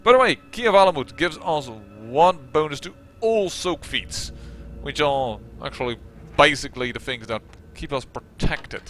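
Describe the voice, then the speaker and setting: Dutch-accented man, let's play host, bright tone, chatty, self-mocking. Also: fairly high pitch, expressive, moderate pace, slight background noise. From Netherlands. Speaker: gaming channel host.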